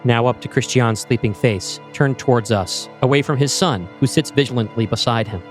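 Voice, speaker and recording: A man narrating a scripted screenplay in the present tense, with measured, descriptive storytelling. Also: medium pitch, soft background music. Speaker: narrator and host.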